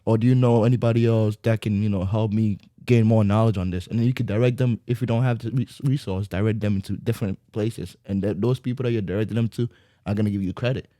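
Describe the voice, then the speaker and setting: A man in his twenties co-hosting a podcast, talking quickly in halting bursts and repeating his words. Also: on the low side, steady tone, mellow, no echo, clean studio sound. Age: 20s. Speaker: podcast co-host. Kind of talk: casual podcast chat.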